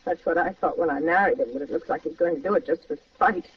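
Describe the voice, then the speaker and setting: A woman's voice: deep voice, fast talker, slightly rough. A muffled, slightly distant vintage radio recording features a seductive, calculating wife. Her delivery is coy and theatrical, in crime-drama style. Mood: coy, scheming. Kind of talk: old-time radio crime acting.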